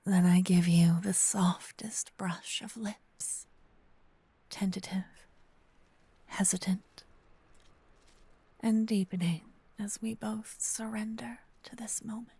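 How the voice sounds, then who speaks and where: A woman voicing a gentle, possessive, seductive female vampire lover in a breathy, intimate whispered ASMR roleplay, close to a binaural microphone. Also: mid-range voice, steady tone, exaggerated voice. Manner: slow, intimate, lingering delivery with solemn romantic phrasing. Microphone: close binaural microphone, ear to ear.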